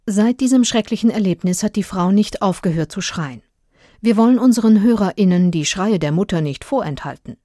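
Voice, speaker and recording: Female reader, deadpan newsreader register, warm dark timbre, close dry recording, scripted excerpts. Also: mid-range voice, brisk, no echo.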